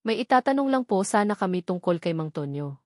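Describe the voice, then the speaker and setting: A woman narrator voices a clever, brave woman lawyer in an audio storybook. She speaks politely at a middling female pitch, recorded dry. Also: big pitch swings, a little fast, no echo, close to an everyday voice. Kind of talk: storybook dialogue.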